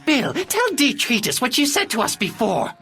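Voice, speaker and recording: An actor voicing a quirky, energetic robot gambler in a video game, giving urgent mission instructions in a creaky voice. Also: high voice, normal speed, faint music behind, exaggerated voice. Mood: urgent, planning.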